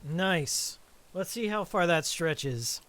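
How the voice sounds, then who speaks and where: A man chatting casually while live-streaming a game, a little hoarse after hours of talking, rambling and reading out viewer names. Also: sits high, animated, slow, a bit bright, slight background noise. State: slightly hoarse from a long stream.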